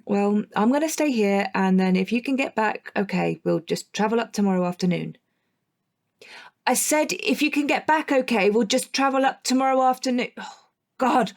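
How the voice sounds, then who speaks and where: A woman's voice: on the high side, lively intonation, moderate pace. An actress with a British accent playing a blunt, sweary young woman in a naturalistic audio drama. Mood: wistful, grumbling. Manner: informal, exasperated, sweary. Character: Rachel, blunt, sweary young woman missing her partner.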